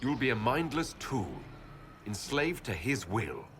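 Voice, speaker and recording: An actor playing a resolute elven leader in a fantasy game, speaking in a firm, measured, earnest way. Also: male voice, medium pitch, very animated, a bit bright, slight background noise. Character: resolute young elven male leader, persuasive and challenging. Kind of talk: dramatic fantasy game acting.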